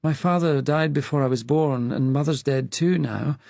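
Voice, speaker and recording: A man's voice: medium pitch, normal speed, vocal fry, a little mellow, no room sound, voice pushed up, lightly acted. A man voicing a withdrawn male patient, a former scientist, answering tersely and hesitantly. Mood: subdued.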